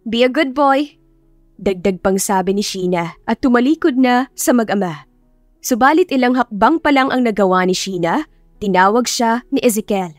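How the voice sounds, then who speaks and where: A woman narrating a scripted romance audiobook in a steady, measured reading. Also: mid-range voice, no echo, faint music behind.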